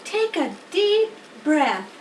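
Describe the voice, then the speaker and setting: A woman's voice: sits high, very animated, extremely slow, pitched above the performer's own voice, heavily acted. A woman voicing a wise, matter-of-fact female spider in a storybook, patiently instructive and a little wry. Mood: coaching. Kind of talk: storybook character voice. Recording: roomy, distant mic, faint noise.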